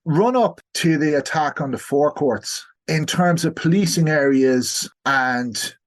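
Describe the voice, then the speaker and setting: A man's voice: on the high side, expressive, measured pace. A man with an Irish accent speaking as a guest historian in an unscripted podcast discussion, explaining things and qualifying his points as he goes.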